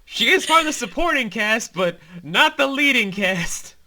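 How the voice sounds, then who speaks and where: A man's voice: very high-pitched, sing-song, normal speed, bright, forceful tone. A man giving casual behind-the-scenes commentary as creator and host, informal and emphatic, with some swearing.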